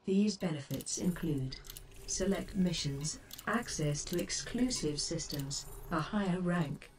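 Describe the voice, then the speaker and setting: A woman's voice: on the low side, expressive. A woman voicing a composed spaceship computer assistant in a game, speaking formally and precisely in scripted advisory lines. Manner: formal, measured, precise phrasing. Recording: slightly distant, noisy game-stream capture.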